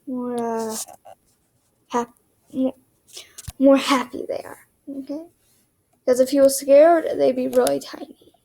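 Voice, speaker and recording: A young woman talks through a drawing tutorial in a flat, rambling monotone, often half-whispering while she draws. Her voice sits high but has a mellow, gravelly edge. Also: takes it slowly, pauses often, rough recording. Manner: monotone, rambling, self-deprecating. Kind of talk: casual drawing tutorial narration.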